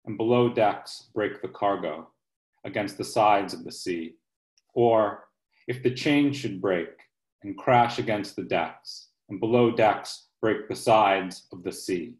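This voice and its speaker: A man in his forties hosting an online poetry reading from his home, speaking on a video call. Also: fairly low pitch. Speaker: poet and series host. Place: home kitchen, indoors. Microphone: laptop at arm's length, video call. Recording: roomy, echoey video call sound.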